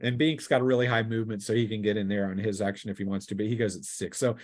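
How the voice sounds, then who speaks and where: A man's voice: fairly low pitch, fairly even intonation, very fast. A man acting as game master of a tabletop role-playing game, narrating casually off the cuff and throwing in vocal sound effects. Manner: acts out sound effects and cries.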